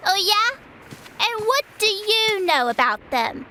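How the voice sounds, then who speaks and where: Very high-pitched stubborn little girl. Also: very animated, slow, sharp tone, full character voice. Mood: defiant, pouting. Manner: cheeky, pouting. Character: seven-year-old girl, stubborn, dreamy, adventure-loving little sister. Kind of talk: audio drama acting. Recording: faint background ambience noise.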